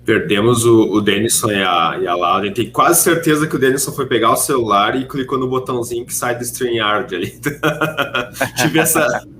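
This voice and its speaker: Brief: Brazilian man, podcast host, medium pitch, bright forward voice, casual, faint hum from an online call. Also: normal speed. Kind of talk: casual moderating.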